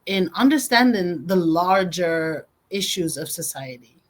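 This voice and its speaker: A woman hosting an interview, asking measured, thoughtful questions. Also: lowish voice, expressive. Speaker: host.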